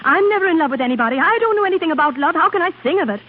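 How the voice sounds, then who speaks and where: A woman's voice: extremely high pitch, expressive, very fast. A woman playing a temperamental, bored opera singer who longs for romance, in theatrical 1930s radio style. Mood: yearning, dramatic. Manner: theatrical, petulant, impulsive. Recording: scratchy, narrow-band 1930s radio recording.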